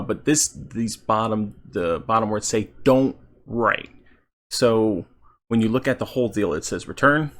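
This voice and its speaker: A man giving casual video game commentary as a channel host, halting as he thinks aloud. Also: on the low side, fairly bright tone.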